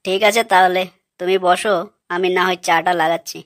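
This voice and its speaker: Cartoon male sidekick, voiced by a woman: blunt, persuasive, coarse, on the low side, dry recording. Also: fairly even intonation, a little fast, no echo, lightly acted. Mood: friendly. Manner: coarse, persuasive, matter-of-fact. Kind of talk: comic cartoon dialogue.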